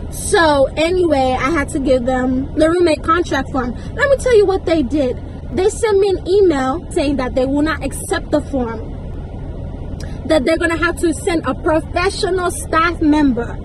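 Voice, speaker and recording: A young woman telling a story casually, acting out conversations in an animated, indignant, emphatic way with slangy asides. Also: high voice, background noise. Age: young adult. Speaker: vlogger.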